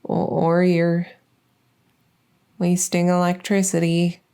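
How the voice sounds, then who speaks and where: A woman's voice: on the low side, monotone, slow, mellow, clear, resonant tone. A woman playing a shy, socially awkward vampire in an ASMR roleplay, speaking hesitantly with anxious stammers. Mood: awkward, tentative.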